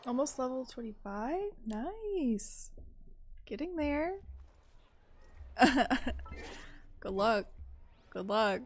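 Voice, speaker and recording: A woman's voice: on the high side, animated, drawn-out words, long pauses, a little hiss or hum. A woman streamer giving casual live gameplay commentary, reactive and playful, often talking to the game and to herself. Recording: game music in the background.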